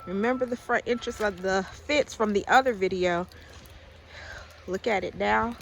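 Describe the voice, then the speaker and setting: A woman giving a casual walking tour of her land. She has a cold, clears her throat now and then, and rambles as she goes. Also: mid-range voice, moderate pace, a bit bright, slight background noise. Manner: chatty, loose and rambling, repeats words. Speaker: farm owner vlogger. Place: outdoors on rural land in the rain.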